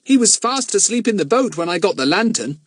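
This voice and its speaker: A man voicing an eager schoolboy who exclaims excitedly. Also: high voice, a little fast, voice pushed up.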